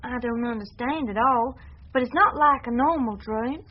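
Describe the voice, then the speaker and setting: A woman's voice: on the high side, normal speed, dull audio, lightly acted. An actress playing a trusting, stubborn, devout young woman in a radio drama, speaking in a plain, folksy, earnest way. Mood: wondering. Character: trusting, stubborn, devout one-legged young female dreamer.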